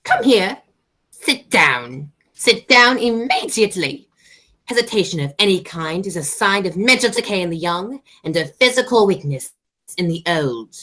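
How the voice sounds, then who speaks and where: A woman's voice: medium pitch, animated, moderate pace, sharp tone. A woman playing a haughty, stern aristocratic mother and aunt in an amateur radio play, declaiming with snobbish authority.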